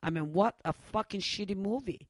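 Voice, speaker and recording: A man hosting a metal radio show, chatting casually, cursing freely and pausing on many uhs. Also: sits high, no echo, clean studio sound. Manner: profane, loose, halting with many uhs. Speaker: radio host.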